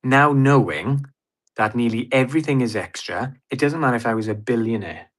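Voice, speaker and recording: A man's voice: medium pitch, normal speed, fairly bright tone. A Welsh man chatting as a podcast guest, reviewing a cruise in a blunt, opinionated and enthusiastic way. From Wales. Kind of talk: casual podcast chat.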